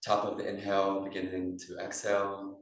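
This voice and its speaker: A man teaching yoga, leading a guided meditation in short, measured phrases with pauses. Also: deep voice, monotone. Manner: measured phrasing with pauses, instructing breath and posture. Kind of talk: guided meditation in a live class. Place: yoga studio class. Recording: echoey room, distant microphone.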